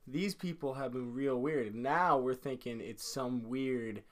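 Young man in relaxed, swearing podcast chat. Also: mid-range voice, measured pace. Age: young adult. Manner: laid-back, swears a lot, laughs often. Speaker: podcast co-host. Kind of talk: casual chat.

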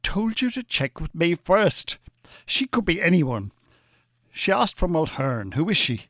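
An actor with an Irish accent playing a smooth, bossy, pompous hypnotist and con-artist in a radio drama. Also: male voice, fairly high pitch, very animated, unhurried, fairly bright tone, no room sound, studio quality, heavily acted. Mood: irritated, bossy.

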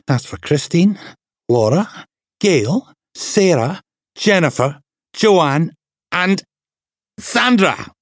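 A man's voice: fairly high pitch, expressive, very slow, pauses often, no echo, clean studio sound, voice pushed up, exaggerated voice. A man voicing a tough, vengeful young policewoman in an audiobook, with hard, punchy delivery. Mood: vengeful anger. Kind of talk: audiobook character dialogue.

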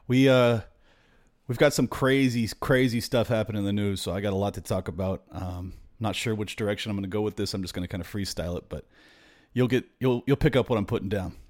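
A man with a somewhat raspy voice talks to his listeners as host of his own show. He rambles loosely from point to point, filling the gaps with ums and quick restarts. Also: on the low side, a little mellow. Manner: rambling, freewheeling rant with fillers. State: slightly raspy voice. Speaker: podcast host. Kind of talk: casual political monologue.

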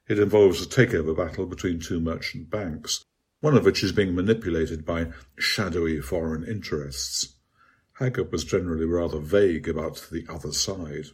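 A man with a low-pitched voice narrates a scripted literary essay. His tone is noticeably husky and a little rough-edged. He reads in a measured, bookish manner, as an author presenting his own writing. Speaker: author reading own article.

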